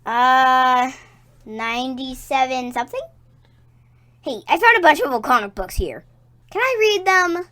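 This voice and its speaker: A woman's voice: high voice, expressive, unhurried, long pauses, bright, forceful tone, exaggerated voice. A woman playing a mischievous, curious young child in an audio drama comedy. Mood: curious, eager. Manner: eager, cheeky, naive.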